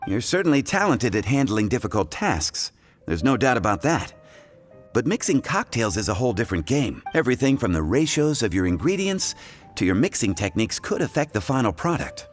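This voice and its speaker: A man's voice: mid-range voice, very animated, fairly quick, faint music behind, lightly acted. An actor playing a friendly bartender explaining the basics of his trade, chuckling as he talks. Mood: instructive. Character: friendly adult male bartender teacher. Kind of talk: game cutscene voice acting.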